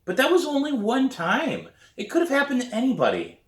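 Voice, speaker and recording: A man's voice: very high-pitched, huge pitch swings, a little fast, a bit bright, voice pushed up, heavily acted. An eager, exasperated preteen played by a man reading a storybook pleads in a raised, swooping voice. The kid sounds whiny and defensive, and the room is echoey. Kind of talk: acted dialogue in a children's book reading. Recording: roomy echo.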